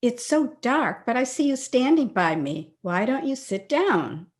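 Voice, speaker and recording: A woman's voice: fairly high pitch, big pitch swings, measured pace. A woman playing a skeptical young partygoer with a dry, disdainful manner, in old-time radio style. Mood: puzzled.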